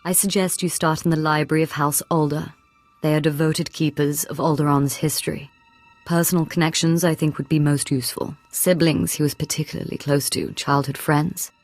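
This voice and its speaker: A woman's voice: sits low, flat delivery, brisk, a little mellow, no echo, soft background music. A woman playing a poised young noblewoman who leads a house in a video game, speaking in a stately, formal way. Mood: businesslike. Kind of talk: scripted video game dialogue.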